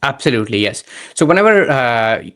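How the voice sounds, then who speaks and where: Man, medium-pitched voice, podcast guest, emphatic explainer, conversational, often checks for agreement. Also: sing-song, fairly quick, fairly bright tone. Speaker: podcast guest, entrepreneur and immigration strategist.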